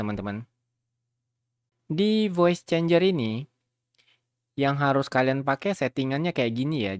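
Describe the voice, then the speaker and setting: Male YouTuber, medium pitch, informal tutorial delivery, chatty and slangy, talking straight to viewers. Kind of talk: casual software tutorial.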